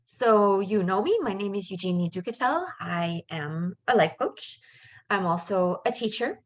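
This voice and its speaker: A woman's voice: mid-range voice, lively intonation, unhurried. A middle-aged woman who was born in Canada and raised in France, a life coach giving a casual live webinar in a chatty, upbeat way with lots of fillers and asides.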